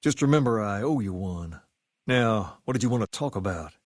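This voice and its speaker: An actor playing a suave, self-assured gang boss in a video game, with an easygoing Southern US accent. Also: male voice, on the low side, expressive, normal speed, no echo, clean studio sound. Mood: relaxed, obliging. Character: suave male gang boss styled on a rock-and-roll king. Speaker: leader of a post-apocalyptic gang. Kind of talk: video game character dialogue.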